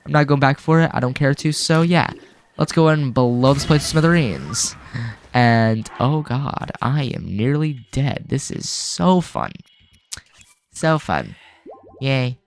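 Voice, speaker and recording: A man's voice: medium pitch, expressive, takes it slowly, creaky, slight background noise. A man hosting a let's-play, getting over a cold with a lingering cough, rambling casually with lots of fillers. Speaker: gaming video host.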